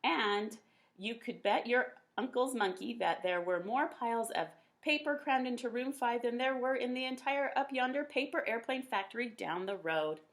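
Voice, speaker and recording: A woman with a fairly high voice reads a children's storybook aloud. She tells it in a folksy, expressive way, leaning on the colorful words. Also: moderate pace. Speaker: teacher reading a picture book aloud.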